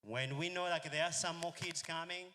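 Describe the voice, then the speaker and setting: A man's voice: fairly high pitch, fairly even intonation, moderate pace, pushed and bright, a little hiss or hum. A man, speaking to a convention crowd in a rambling, storytelling way with many fillers. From Uganda. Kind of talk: informal speech to a crowd.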